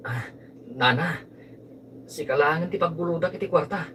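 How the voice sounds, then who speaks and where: A man's voice: on the high side, moderate pace, fairly bright tone, distant mic, close to an everyday voice. Respectful, earnest Filipino man for radio drama, played by a male actor. Off-mic live recording, faint noise. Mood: pleading. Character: polite, reassuring man in a family drama. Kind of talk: Ilocano radio drama acting.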